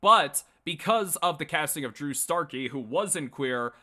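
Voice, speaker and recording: Animated, bright-voiced man rambling casually. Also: fairly high pitch, measured pace. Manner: rambling, blunt, swearing. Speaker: livestream host. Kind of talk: casual opinionated fan commentary to a live chat.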